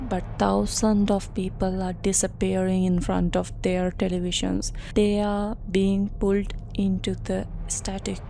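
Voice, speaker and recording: A woman narrates a horror testimony in an unhurried, ominous way. Her voice has a heavy fry and keeps to a fairly narrow pitch, with dramatic pauses. There is clear background noise behind her. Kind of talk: scripted horror narration as first-person testimony.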